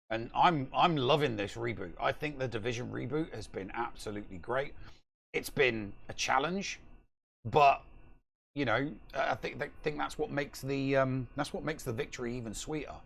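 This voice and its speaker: A man's voice: fairly high pitch, creaky, a bit bright. A middle-aged man co-hosting a casual live gaming podcast from home on a video call, talking emphatically and repeating himself for stress. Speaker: podcast co-host.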